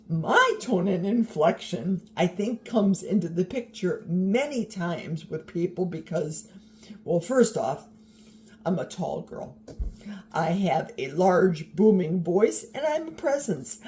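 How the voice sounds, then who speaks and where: An older woman talks slowly and easily to a handheld camera while she cooks in her home kitchen. Her voice is mellow and warm, and her tone is chatty, encouraging and a little wry. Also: medium pitch. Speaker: vlogger. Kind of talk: casual vlog chat while cooking.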